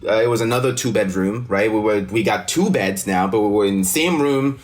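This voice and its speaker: A man's voice: fairly low pitch, fairly quick. A man from Cameroon, a non-native English speaker, telling personal stories expressively and emphatically as a teacher being interviewed. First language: not English. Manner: expressive, emphatic, often checks with "right?". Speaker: teacher and actor, interview guest.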